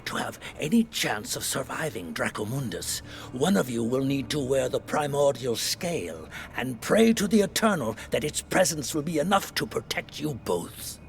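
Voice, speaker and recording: An actor playing a grave scholar in a fantasy video game, speaking deliberately and portentously. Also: male voice, mid-range voice, very animated, gravelly, faint music behind. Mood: ominous warning. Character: grave male scholar warning of dark corruption. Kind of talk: dramatic video game acting.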